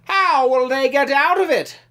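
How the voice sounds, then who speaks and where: Brief: actress as playful female narrator for radio serial, high voice, unhurried delivery. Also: fairly bright tone. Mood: playful suspense. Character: arch, playful storyteller addressing radio listeners. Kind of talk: scripted old-time radio serial narration.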